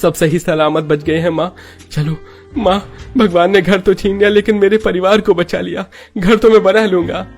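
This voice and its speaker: A man voicing a poor young father in a melodramatic story, gentle and emotional, with a pleading manner. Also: sits high, steady tone, moderate pace, creaky, faint music behind, voice pushed up. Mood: moved. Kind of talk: melodramatic Hindi moral-story voice acting.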